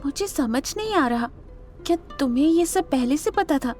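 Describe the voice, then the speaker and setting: A woman playing a curious young wife in an audio drama, light and gently questioning. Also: high voice, animated, normal speed, faint music behind. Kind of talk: Hindi audio drama acting.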